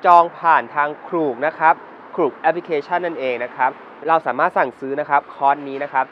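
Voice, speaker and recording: A man's voice: fairly high pitch, a little fast, slight background noise. Polite man presenting, slightly dull sound. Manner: polite, ends phrases with formal particles. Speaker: food show host. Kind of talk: casual food chat and presenting to camera.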